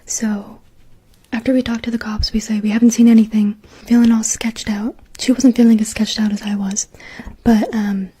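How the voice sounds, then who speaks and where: A woman whispers her way through a long personal story, her breathy voice sitting a little high. She talks on a narrow, flat line, with a mellow tone. Her delivery stays casual and chatty, full of "like" and "um.". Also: moderate pace. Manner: chatty, lots of "like" and "um".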